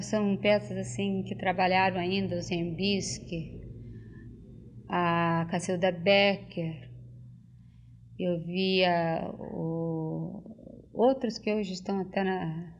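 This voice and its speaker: An older woman, recalling things as an interviewee and often pausing to search for words and restart. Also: fairly low pitch. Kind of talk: casual spoken reminiscence. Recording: dull lo-fi tape recording.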